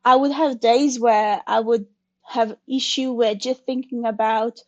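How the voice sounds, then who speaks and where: A woman's voice: on the high side, steady tone, measured pace, darkish tone, lo-fi sound. A woman in her forties whose first language is French, speaking English as an interview guest in a thoughtful, hesitant way with frequent restarts. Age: 40s. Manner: thoughtful, hesitant, with restarts and non-native phrasing. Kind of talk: conversational interview.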